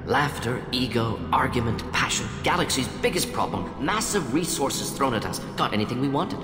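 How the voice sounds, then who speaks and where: A man's voice: mid-range voice, expressive, normal speed, sharp tone. A male actor playing a proud, conflicted alien scientist in a video game, speaking in clipped, telegraphic phrases with small words dropped. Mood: nostalgic, animated. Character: fast-thinking alien scientist doctor, proud, conflicted, defensive. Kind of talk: dramatic video game dialogue. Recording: echoey hall reverb, music behind.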